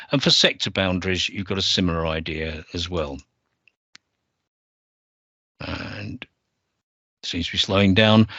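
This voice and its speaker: Low-voiced man walking through a software demo. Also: dry recording, highs cut off. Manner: methodical, self-correcting, explaining step by step. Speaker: software presenter. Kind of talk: screen-share software demo.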